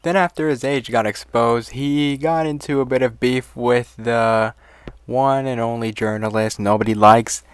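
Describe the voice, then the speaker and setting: Man, drama commentary vlog, exasperated rambling, smooth clear voice, dry close sound. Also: medium pitch, steady tone, a little slow, no room sound. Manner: exasperated, swears casually, rambling asides.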